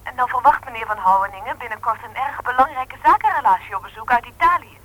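High-voiced, coaxing secretary. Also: female voice, fast, bright, forceful tone, low-quality recording. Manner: roundabout, coaxing, chatty. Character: chatty, persuasive young female secretary. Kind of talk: Dutch radio play acting.

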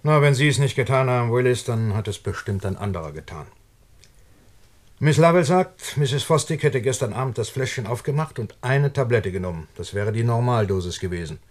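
A man acting a firm, sceptical doctor in a German radio play, with a measured, formal and pointed way of talking. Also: mid-range voice, lively intonation, lightly acted. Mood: stern. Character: firm, sceptical male doctor investigating a death.

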